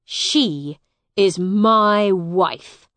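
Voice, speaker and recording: A stern, cold-hearted brother from an audiobook , acted by a woman. He is curt and commanding, with very slow, deliberate words in a dry recording. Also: lowish voice, no echo. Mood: angry. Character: cold, stern, hostile brother disowning his sibling. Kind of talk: graded audiobook character dialogue.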